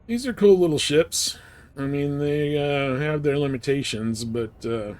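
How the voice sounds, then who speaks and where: A man's voice: fairly high pitch, measured pace, darkish tone. A man chatting casually and thinking aloud as he livestreams a game in a laid-back way. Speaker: game streamer. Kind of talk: casual livestream gameplay chat. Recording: faint background noise.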